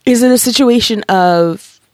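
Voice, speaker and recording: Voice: mid-range voice, unhurried, no echo. Chatty podcast host, dry close voice. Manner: chatty, lively, swears casually, acts out quotes. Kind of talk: casual interview chat.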